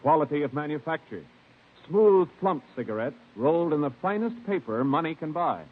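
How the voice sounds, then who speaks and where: A man's voice: a little high, animated, moderate pace. A man with an American accent announcing in a formal, polished 1950s radio style. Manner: polished, declarative. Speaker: show and commercial announcer. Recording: tinny vintage radio broadcast.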